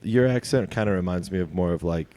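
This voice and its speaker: A man's voice: low-pitched, fast, warm tone. A man in his late twenties co-hosting a podcast, upbeat and wisecracking in casual chat. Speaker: podcast co-host.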